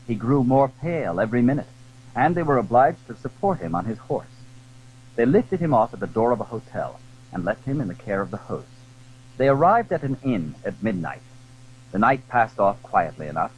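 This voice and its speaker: A man's voice: lowish voice, slight background noise, low-quality recording. A man narrating a classic adventure novel in dramatic, scripted storytelling style.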